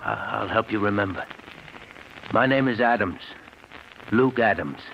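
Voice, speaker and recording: Muffled, hissy radio play: worn-out bitter ex-con, low voice, brooding and insistent. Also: male voice, measured pace, gravelly. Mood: quietly menacing. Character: embittered, prematurely aged ex-convict, big and broken. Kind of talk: 1940s radio drama acting. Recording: muffled noisy old radio recording.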